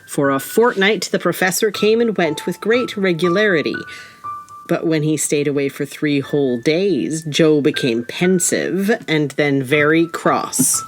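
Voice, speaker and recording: A woman playing the composed storyteller of a period tale, reading scripted narration in measured, literary phrasing. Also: sits low.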